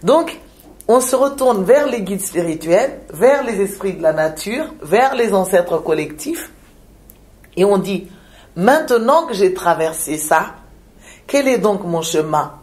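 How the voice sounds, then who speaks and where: A woman from Madagascar speaks at a slow, unhurried pace, often pausing and repeating words as she searches for them. Her voice sits at an ordinary middle pitch, and her talk is reflective and spiritual. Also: lively intonation, darkish tone. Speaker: interview guest, shaman.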